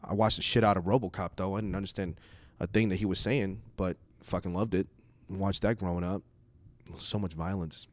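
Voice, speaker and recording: A man's voice: deep voice, fairly even intonation, a little fast. A man from the United States whose first language is Cantonese, speaking as a comedian in a casual, rambling, profane monologue. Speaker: stand-up comedian.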